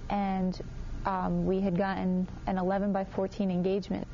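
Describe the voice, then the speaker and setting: Young woman, interviewee, soft dark timbre, faint background noise. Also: medium pitch, completely flat delivery, normal speed, muffled recording. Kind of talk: spontaneous interview answers. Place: TV studio group interview. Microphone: lapel.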